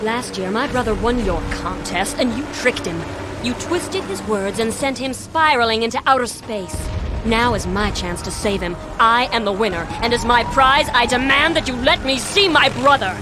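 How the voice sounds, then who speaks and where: An actress playing a determined patrol officer in a video game, earnest, emphatic and dramatic. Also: female voice, a little high, animated, moderate pace, music in the background, lightly acted. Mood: resolute. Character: determined female patrol officer seeking her brother. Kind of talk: video game cutscene acting. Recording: clear background noise.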